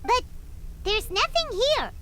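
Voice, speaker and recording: A woman voicing a small, childlike fairy companion in a video game, exclamatory, bold yet easily scared, with a squeaky voice. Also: big pitch swings, slow pace, heavy background noise, full character voice. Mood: puzzled. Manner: refers to self in third person, exclamatory.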